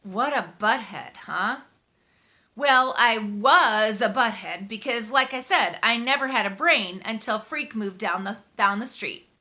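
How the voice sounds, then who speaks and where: A woman reading a story aloud in the first person, conversational and expressive, leaning on key words for dramatic emphasis. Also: on the high side, a little slow. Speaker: audiobook reader.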